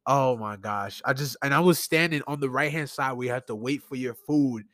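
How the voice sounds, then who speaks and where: A man from New York City with a Brooklyn accent, ranting and telling stories casually in an animated, slangy way, as a solo podcast host. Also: mid-range voice, a little fast, no echo, studio quality. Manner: animated, informal, slangy, acts out conversations. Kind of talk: casual solo rant and storytelling.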